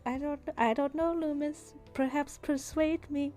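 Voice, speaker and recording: A woman acts out a haggling fantasy shopkeeper in a tabletop game. The voice sits on the high side, drawing out the sales pitch with coy hesitation, with music playing behind. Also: fairly even intonation, unhurried, mellow. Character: haggling shopkeeper selling enchantments, bombs and oddities.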